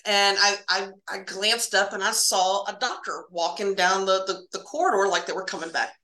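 A woman casually telling spooky stories from her hospital laboratory work, halting and repeating words as she goes and acting out bits of dialogue. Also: mid-range voice, steady tone, pushed and bright, echoey room.

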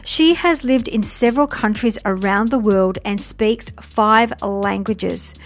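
Woman podcast host, fairly high voice, upbeat and enthusiastic, scripted intro, faint music underneath. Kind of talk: scripted intro and interview questions.

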